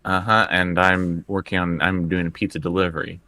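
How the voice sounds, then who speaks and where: A man's voice: deep voice, flat delivery, brisk, sharp tone, no echo. A man casually playing a tabletop game in character, with dry, hesitant, needling banter.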